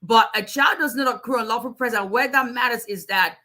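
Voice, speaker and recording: A woman's voice: fairly high pitch, fairly quick, sharp tone. A woman in her forties from Nigeria, speaking as an immigration attorney answering viewers in a casual live Q&A, with a strong voice and frequent restarts. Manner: strong voice, chatty, with many restarts. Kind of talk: casual livestream Q&A.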